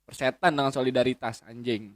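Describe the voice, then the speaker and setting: A man's voice: medium pitch, steady tone, brisk, dry recording, studio quality. A man, chatting as a guest in a rambling, slangy way with lots of swearing and restarts. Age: 20s. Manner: rambling, frequent swearing and self-correcting hesitations. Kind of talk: casual chat.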